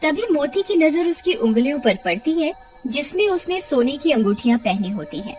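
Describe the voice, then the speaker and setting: Woman reading a spooky cartoon story, pretty high voice that swings around a lot, music behind. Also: sing-song, normal speed. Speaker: narrator. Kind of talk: scripted cartoon horror storytelling.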